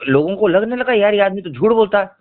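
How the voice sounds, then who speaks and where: A man's voice: high voice, lively intonation, fast talker. A man talking politics casually on a phone call, explaining insistently as a journalist would. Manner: insistent, explanatory, addressing the listener as brother. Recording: tinny, narrowband phone call recording.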